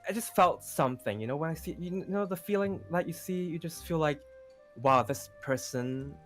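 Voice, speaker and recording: A man playing an earnest, lovesick young man in an improvised roleplay, talking in a halting, repetitive, conversational way over background music. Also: on the high side, a bit bright, close to an everyday voice. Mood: earnest, pining. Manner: halting, rambling, repeats himself, often says "you know".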